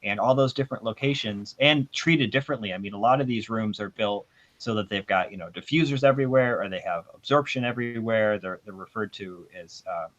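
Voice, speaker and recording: A man's voice: lowish voice, a little fast, fairly bright tone. A man explaining technical matters informally as an audio expert on a panel, giving his opinions in a discussion. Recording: dull, band-limited sound.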